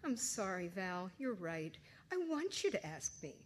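An awkward middle-aged mother fumbling through answers she would rather not give, as a woman reads her lines. She sounds hesitant and uneasy, trying to stay calm in a storybook reading. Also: mid-range voice, measured pace, slight background noise. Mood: flustered.

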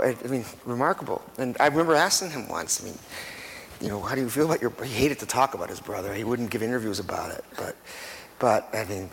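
A man on a panel telling an anecdote, animated, with stammering restarts. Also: medium pitch, gravelly. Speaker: author on a panel. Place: lecture hall. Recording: reverberant hall with faint room noise.

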